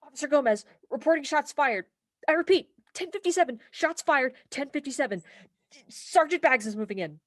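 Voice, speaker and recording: A woman's voice: high voice, expressive, a bit bright, no echo. Woman playing a headstrong young police officer, dry sound. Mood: determined, forceful. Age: young adult. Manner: brisk, official, argumentative. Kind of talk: contemporary comic radio play acting.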